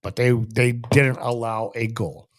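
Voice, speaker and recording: A man's voice: mid-range voice, fairly even intonation, measured pace. A Canadian man hosting a sports show, giving casual, emphatic solo hockey commentary and repeating phrases for stress.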